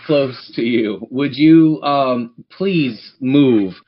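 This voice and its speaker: A man acts as game master for a tabletop campaign. He improvises descriptive narration and turns to address his players directly. His pitch is average, and the recording is a little dull and lo-fi. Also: extremely slow.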